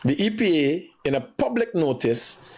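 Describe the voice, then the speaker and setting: Male live morning host, Guyanese accent, high voice, emphatic and opinionated delivery. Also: sing-song, moderate pace, darkish tone, husky. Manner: emphatic, repetitive, accusatory.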